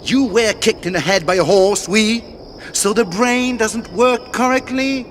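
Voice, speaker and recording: A man voicing a pompous, excitable French villain in a video game, theatrical and condescending, with mock courtesy. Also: high voice, unhurried, a little hiss or hum. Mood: condescending, smug. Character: pompous, excitable French male villain, scheming treasure hunter. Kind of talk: video game cutscene voice acting.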